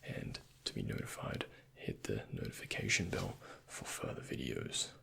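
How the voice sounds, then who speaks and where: A soft-spoken man with a breathy, often half-whispered voice, playing a doctor in an ASMR roleplay and giving gentle instructions and reassurance. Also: a bass voice, wildly swooping pitch, moderate pace.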